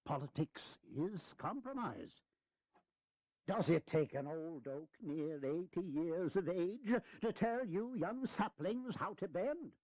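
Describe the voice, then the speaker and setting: A man's voice: high-pitched, animated, slow pace, a little mellow, no echo, heavily acted. An actor playing a shrewd, teasing eighty-year-old statesman in a historical audio drama, lecturing in a folksy, wry way. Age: elderly.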